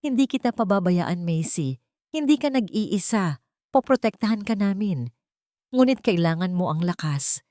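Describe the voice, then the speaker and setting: A woman's voice: mid-range voice, animated, moderate pace, warm tone, no echo, clean studio sound, close to an everyday voice. A woman with a Filipino accent voicing an earnest, protective rich young man in an audio romance story. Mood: concerned, reassuring.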